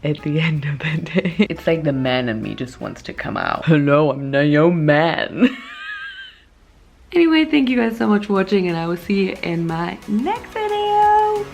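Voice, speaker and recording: Brief: tired, giggly woman vlogging from a hotel room, lively swoops, faint music behind. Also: fairly low pitch, normal speed, gravelly. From Germany. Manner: giggly, chatty, laughs often. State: tired, voice worn low. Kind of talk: casual late-night vlog.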